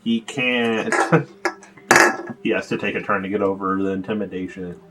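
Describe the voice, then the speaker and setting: A man's voice: on the low side, steady tone, normal speed, noisy background. A man acting as game master, casually refereeing a tabletop role-playing session. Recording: music behind the talk. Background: music.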